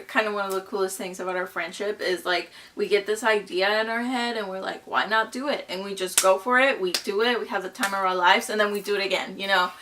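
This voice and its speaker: Bubbly twenty-something girl from mexico talking to her camera, bright voice, lots of likes and you knows. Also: mid-range voice. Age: 20s. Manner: bubbly and gushing, lots of fillers like "you know" and "like". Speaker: vlogger. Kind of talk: casual chatty vlog.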